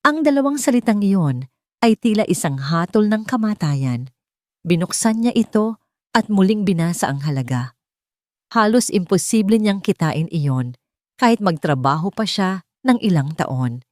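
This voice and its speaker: A woman's voice: mid-range voice, very animated, moderate pace, a little mellow, dry recording, clean studio sound. A woman storyteller reading scripted narration for a romantic drama audio story.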